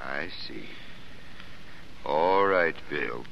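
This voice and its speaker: A man's voice: rumbling low, lively intonation, drawn-out words, vocal fry, exaggerated voice. An actor playing a stern, upright older American watchman in an old-time radio drama. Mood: grave, reproachful. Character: stern, upright older Bible-reading watchman, secretly soft-hearted. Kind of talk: 1930s-40s American radio drama acting. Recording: muffled, noisy vintage radio recording.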